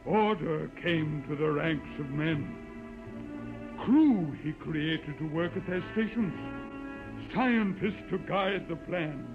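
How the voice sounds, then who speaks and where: A muffled old radio recording with music behind carries a pompous old holy man in a science-fiction drama. He declaims slowly and solemnly like liturgy, with menace under the piety. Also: fairly high pitch. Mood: solemn chanting.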